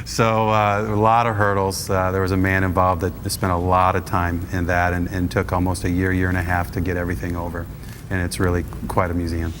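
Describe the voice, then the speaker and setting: A man with a very low, flat voice speaks as a guest on a television interview. He talks in a steady, halting way, with many fillers. A clear hiss sits under the recording. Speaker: guest, auction business owner and minister. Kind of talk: conversational interview answer.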